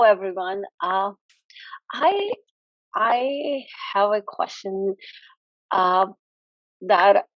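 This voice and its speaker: A young woman speaks slowly and candidly in a muffled, lo-fi online call. She stutters, catching and repeating sounds as she works through her question. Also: mid-range voice, no room sound. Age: young adult. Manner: halting, candid. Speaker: pharmacy student asking a panel a question. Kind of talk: earnest question in a group discussion. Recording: dull lo-fi online call audio.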